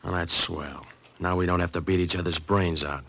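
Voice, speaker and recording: A menacing tough-guy crook in an old radio mystery. The voice is low, and the talk is blunt, clipped and slangy. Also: fairly even intonation, moderate pace, a bit bright. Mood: gruffly threatening. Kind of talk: old-time radio drama acting.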